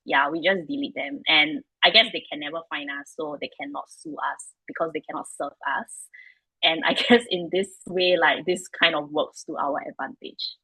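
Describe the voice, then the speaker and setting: Bright, husky Singaporean woman, frank and chatty. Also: low voice, normal speed, no echo, low-quality recording. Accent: Singaporean English. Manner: frank, chatty, many fillers like "like" and "you know". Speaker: startup founder and guest. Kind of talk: casual interview answers.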